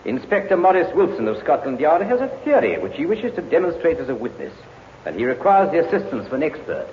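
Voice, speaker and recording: A probing courtroom prosecutor in a 1950s radio drama. He speaks in a fairly high, animated voice with courteous, orotund formality. The sound is phone-thin and very reverberant. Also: quick pace, a little hiss or hum. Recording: tinny echoey old radio recording.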